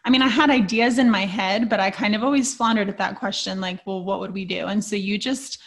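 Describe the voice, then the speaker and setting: A woman's voice: medium pitch, fairly even intonation, fairly quick, a bit bright. A woman hosting an interview, responding thoughtfully with fillers. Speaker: host. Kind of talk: conversational interview.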